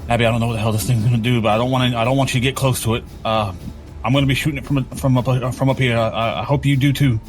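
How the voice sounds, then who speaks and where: A man's voice: medium pitch, steady tone, rapid-fire, faint music behind. A man playing a tabletop game, talking casually and thinking his moves through aloud with some hesitation.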